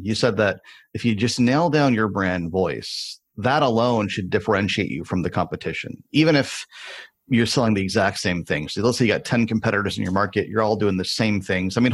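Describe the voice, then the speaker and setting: A man with a lowish, husky voice hosts an interview. He sums up what his guest said and builds long, searching questions around it. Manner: thoughtful, restates guest's points, rambling questions. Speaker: podcast host.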